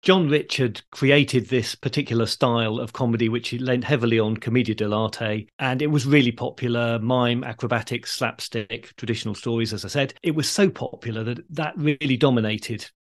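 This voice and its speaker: A man's voice: medium pitch, steady tone, normal speed, hardly any pauses. Man explaining history conversationally. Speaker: history podcast host.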